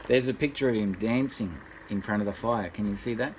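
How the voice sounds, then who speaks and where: A man's voice: fairly low pitch, fairly quick, a little hiss or hum, low-quality recording. An older man, a grandfather, reads a bedtime story aloud expressively, addressing small children.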